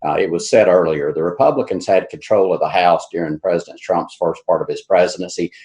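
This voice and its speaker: A man running for office, giving a measured answer in a political debate. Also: low voice, darkish tone. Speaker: political candidate.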